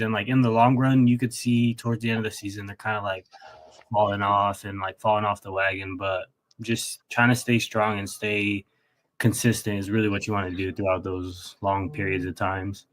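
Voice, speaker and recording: A man's voice: fairly low pitch, fairly bright tone. A young man from Texas answering casual sports interview questions as a college athlete, polite and earnest. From Texas, United States. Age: young adult. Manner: polite, often says yes sir, earnest. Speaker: college baseball player, interview guest.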